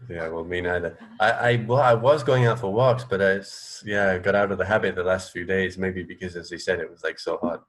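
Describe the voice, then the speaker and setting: Lowish-voiced man in relaxed radio chat. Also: fairly quick, few pauses. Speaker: radio show co-host. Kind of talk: casual chat. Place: indoor room at home, on a video call. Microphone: earphone mic on a video call.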